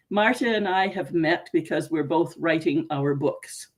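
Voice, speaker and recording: Canadian woman, casual podcast host, giggly and chatty, video call sound. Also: deep voice. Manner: giggly, chatty, laughs often. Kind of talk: casual interview.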